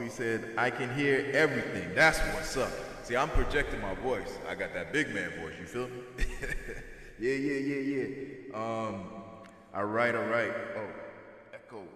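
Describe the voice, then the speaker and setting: Casual man on an echoey livestream. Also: medium pitch. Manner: animated, talks back at clips. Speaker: livestream host. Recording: echoey room with long reverb.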